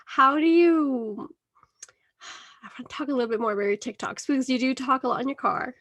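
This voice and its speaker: A woman's voice: high voice, lively intonation, a little fast, a bit bright, no room sound. A woman in her thirties from Venezuela, hosting a podcast interview in a bubbly, chatty way and laughing often between phrases. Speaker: podcast host. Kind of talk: casual interview chat.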